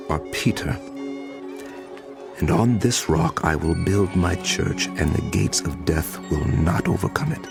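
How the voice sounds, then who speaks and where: A man's voice: low voice, creaky, heavily acted. An actor playing a grave, commanding male teacher in a dramatized scripture recording, speaking with solemn, emphatic delivery. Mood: solemn, approving. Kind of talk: dramatized scripture audio acting.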